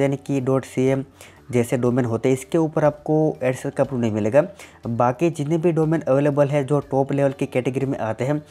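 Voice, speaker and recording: A man's voice: mid-range voice, warm tone. Man answering viewer tech questions. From Gujarat, India. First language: Gujarati. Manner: plain explanatory, repeats "definitely" and "simply". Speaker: tech channel host.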